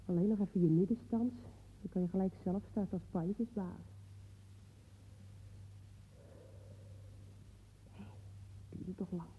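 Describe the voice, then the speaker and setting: A woman's voice: fairly low pitch, fairly even intonation, fairly quick, dark tone, a little hiss or hum, close to an everyday voice. A woman playing a bossy, scornful mother in a radio play, nagging sarcastically in colloquial speech. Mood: grumbling. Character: bossy, nagging, scornful mother waiting at her daughter's school. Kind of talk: naturalistic radio play dialogue. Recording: dull, muffled old radio play recording.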